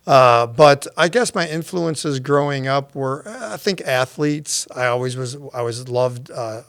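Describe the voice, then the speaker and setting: A sixty-year-old man whose first language is Spanish, talking as a guest on a podcast. He tells his story in a lively, chatty way at a middle pitch. The sound is dry and close, with no room around it. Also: unhurried, no room sound. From United States. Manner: lively, chatty, laughs often. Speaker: guest, nonprofit founder. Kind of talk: casual interview storytelling.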